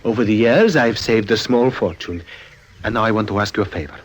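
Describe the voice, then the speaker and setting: An actor playing a weary, devoted father with a secret shady life, earnest and halting, in an old-time radio drama. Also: on the low side, lightly acted. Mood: earnest, resigned. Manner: earnest, halting, formal phrasing.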